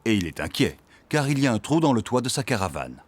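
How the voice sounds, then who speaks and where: A man's voice: medium pitch, big pitch swings, fast talker. A man narrating a scripted children's cartoon. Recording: music behind the voice.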